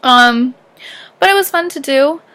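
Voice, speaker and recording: Rambling young Canadian woman, slightly high. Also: lively intonation, a little slow, bright, forceful tone. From southern Ontario, Canada. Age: young adult. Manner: rambling, heavy use of fillers like "um" and "like". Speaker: vlogger. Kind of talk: casual personal vlog.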